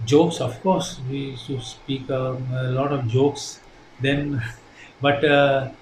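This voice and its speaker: An older Indian man, Hindi his first language, answers questions earnestly and haltingly, stopping often to restart his sentences. His pitch sits in the middle of the range. The recording is echoey, with a faint hiss behind him. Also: fairly even intonation. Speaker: interviewee. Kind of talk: casual interview answers. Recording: echoey room with faint noise.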